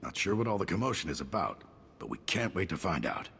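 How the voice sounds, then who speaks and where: An actor playing a gruff, battle-weary general with a clipped, wry military delivery in a video game cutscene. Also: male voice, low-pitched, big pitch swings, fast talker, a bit bright, a little hiss or hum, heavily acted. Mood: grim, resolute. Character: gruff, weary, wry male army general under siege.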